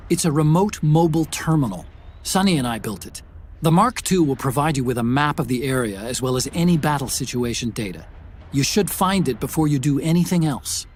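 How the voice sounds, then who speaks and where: A man's voice: mid-range voice, lively intonation, brisk, a little hiss or hum, natural voice. Male actor as helpful tech-whiz mission guide, video game cutscene, mid-range voice, earnest, friendly explanatory delivery. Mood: briefing. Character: earnest, helpful male tech-expert sidekick guiding a soldier.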